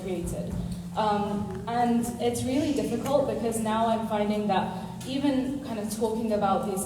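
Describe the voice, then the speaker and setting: Woman, audience questioner, earnest and rambling, distant and echoey, with noise. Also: mid-range voice. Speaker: audience member asking a question. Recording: distant echoey hall mic, noisy.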